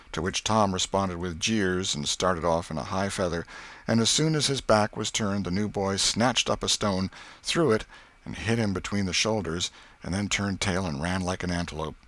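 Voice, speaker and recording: Low american guy reading a classic novel, measured storyteller, really dry recording. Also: a bit bright, dry recording. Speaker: audiobook narrator. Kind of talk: scripted literary narration.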